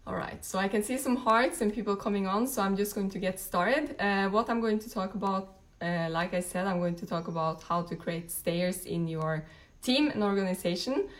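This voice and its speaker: A young woman talks loosely to her live-stream viewers. She leans on fillers and restarts often, and her pitch sits in an ordinary middle range for a woman. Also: moderate pace. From Norway. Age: late 20s. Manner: chatty, rambling, frequent fillers and restarts. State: a bit tired, just woken up. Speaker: network marketing team leader. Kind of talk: casual live-stream talk to an audience.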